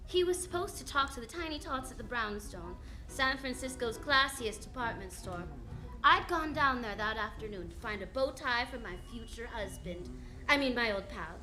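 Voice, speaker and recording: A woman's voice: a little high, sharp tone. A woman playing a breezy, wisecracking female private eye who narrates a live radio drama in a wry, sassy way.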